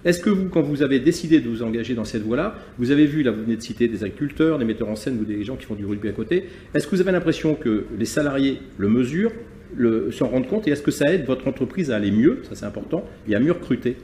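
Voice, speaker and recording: A man interviewing a guest, asking questions briskly and rephrasing them as he goes. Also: mid-range voice, lively intonation, mellow, slight background noise. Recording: echoey room.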